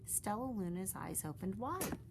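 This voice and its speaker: A woman reading a children's picture book aloud with expressive, animated narration. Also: mid-range voice, moderate pace, vocal fry, slight background noise. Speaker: storyteller.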